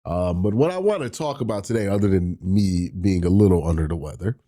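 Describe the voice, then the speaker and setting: A man with a cold, sounding nasal and breathy, hosting a sports talk show and patiently explaining draft tiebreakers. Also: low voice, animated, fairly quick, mellow. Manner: explanatory, walks through tiebreaker rules step by step. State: congested from a cold. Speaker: sports channel host. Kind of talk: solo sports talk to camera.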